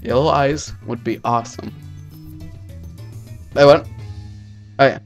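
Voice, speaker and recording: A man narrates a visual novel in a casual let's play style. He trips over words and interjects his own reactions. His pitch is average, and game music plays behind him. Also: steady tone, slow pace, vocal fry, highs cut off. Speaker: let's player. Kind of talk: reading game text aloud with casual commentary. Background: video game music.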